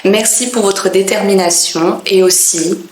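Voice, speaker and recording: A woman from France, a French teacher, speaking in a clear, deliberate way with pauses between phrases. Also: medium pitch, fairly even intonation, echoey room, slight background noise. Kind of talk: slow, careful teaching monologue.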